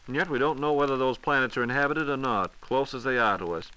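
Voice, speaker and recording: Bright-voiced American man, 1940s radio host. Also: mid-range voice, fast, smooth, rough recording. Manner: polite, orderly, announcer-like. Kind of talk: 1940s radio hosting, scripted. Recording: 1940s radio transcription disc.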